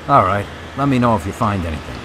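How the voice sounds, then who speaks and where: Man playing polite no-nonsense cop in radio play, lowish voice that moves a lot, direct. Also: sing-song, quick pace, noisy background. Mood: courteous. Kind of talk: radio drama acting.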